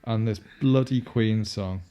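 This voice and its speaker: A man's voice: on the low side, unhurried, warm tone, smooth. A young man with a Yorkshire-inflected northern English accent, chatting sardonically over a film and laughing often. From northern England. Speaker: co-host. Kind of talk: casual film commentary chat.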